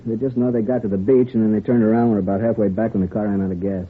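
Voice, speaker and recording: A police interpreter talks fast in a low, husky voice, relaying things matter-of-factly. The vintage radio drama recording is thin and narrow. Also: male voice, fairly even intonation. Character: obliging bilingual police interpreter questioning and translating. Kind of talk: 1950s radio drama acting.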